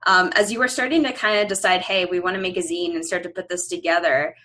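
A woman's voice: lowish voice, fairly even intonation, quick pace, bright, forceful tone. A woman interviewer speaking conversationally, with an affirming, enthusiastic manner.

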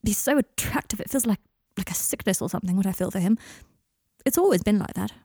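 A sardonic, self-deprecating young man played by a woman narrator in audiobook dialogue. He deflects with sarcasm and rambles into gloomy confessions, in a mellow voice recorded close and dry. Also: mid-range voice, lively intonation, fast talker, husky, dry recording. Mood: anguished, obsessive.

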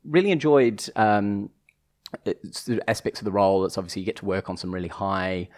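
Man, new zealand accent, very deep voice, halting casual podcast talk with many restarts. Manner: hesitant, many restarts and fillers. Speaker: podcast guest, chief commercial officer.

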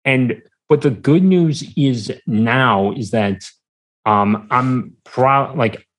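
A man in his twenties chatting casually as a podcast guest, speaking in halting, hesitant, broken phrases. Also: medium pitch. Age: 20s. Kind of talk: casual interview chat.

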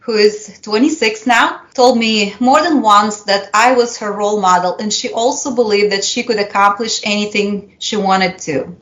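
Russian-accented woman telling a measured story. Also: medium pitch, a bit bright. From Soviet Union. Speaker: university lecturer. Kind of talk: prepared personal story for a podcast.

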